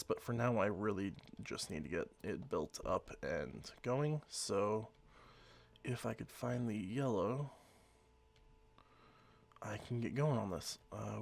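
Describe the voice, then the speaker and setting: A man with a slightly hoarse voice from being sick, casually talking through his gameplay in a rambling, easygoing way. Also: lowish voice. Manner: rambling, easygoing, self-correcting. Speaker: let's play host.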